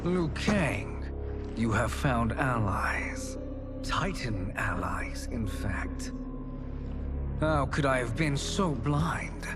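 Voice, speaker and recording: A menacing male sorcerer, bent on conquest and speaking slowly at a medium pitch. He is smug, silky and theatrical, a game villain with dramatic music under him. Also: expressive. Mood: smug, gloating. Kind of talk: cinematic video game villain acting.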